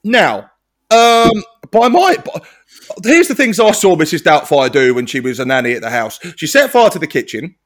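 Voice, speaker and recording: A man's voice: on the high side, very animated, normal speed, fairly bright tone. A man in casual comedy chat, sweary and rambling, laughing often and restarting his sentences.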